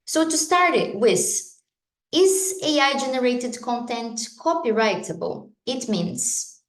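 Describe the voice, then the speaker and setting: A woman from Brazil with a Brazilian Portuguese accent, a lawyer giving a conference talk, explaining in a measured way with frequent hesitations. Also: fairly high pitch, animated. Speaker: guest speaker, lawyer. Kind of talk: conference presentation. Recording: echoey room.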